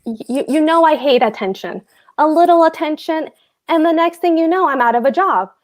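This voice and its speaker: A woman's voice: sits high, animated, brisk. A woman reading the part of a pompous, anxious husband, a fussy government clerk, at a table read of a stage comedy. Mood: lecturing, fretful. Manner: pompous, hectoring, fretful.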